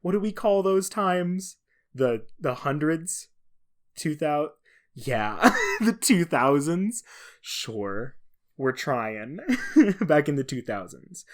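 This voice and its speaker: A man talks alone as a podcast co-host in a medium-pitched voice. He explains his topic in a casual, conversational way. He wanders into self-deprecating asides as he goes. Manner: chuckles at own remarks.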